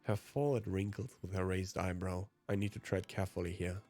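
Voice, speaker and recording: A man's voice: low-pitched, fairly even intonation, brisk, warm tone. A man, a let's player reading visual novel narration aloud. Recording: music behind the voice.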